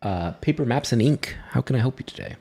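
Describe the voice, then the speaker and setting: A man's voice: mid-range voice, expressive, quick pace, vocal fry, warm tone, slightly rough, pitched above the performer's own voice. A man voicing a polite, eager male shopkeeper who speaks in a welcoming, slightly hesitant sales patter in a tabletop game. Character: eager, polite human male paper shop keeper.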